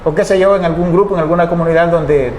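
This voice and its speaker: Halting Salvadoran radio host with a higher voice. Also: male voice, steady tone, a little mellow, reverberant, slight background noise. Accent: Salvadoran Spanish. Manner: halting, frequent restarts, backchannels "ujú". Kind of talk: radio interview hosting.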